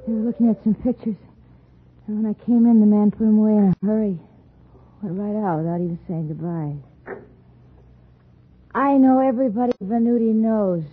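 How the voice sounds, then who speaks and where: Dreamy sly dame from an old radio thriller, american, very husky, slow and drifting, tinny. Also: female voice, mid-range voice. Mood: evasive. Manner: slow, drifting, halting delivery. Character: dazed, sly young woman, dead man's girlfriend, dreamy and evasive. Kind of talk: 1950s radio thriller acting.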